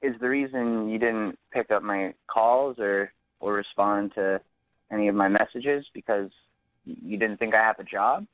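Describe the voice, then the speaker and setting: A man speaking casually as a phone guest on a radio show, in a halting, earnest way. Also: lowish voice, no echo. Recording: thin band-limited phone line.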